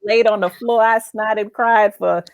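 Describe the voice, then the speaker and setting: A woman's voice: mid-range voice, fairly even intonation, measured pace, highs cut off. A woman with a mid-range voice hosts a faith-based conversation. She is emphatic and affirming, often repeating a phrase to drive it home. The sound is dry and close, without room echo. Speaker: talk show host.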